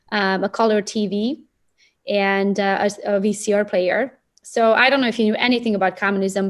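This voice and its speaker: A woman in her thirties with a Romanian accent, telling personal stories in a casual, chatty, animated way as a podcast guest. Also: mid-range voice. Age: 30s. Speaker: podcast guest, real estate agent and coach.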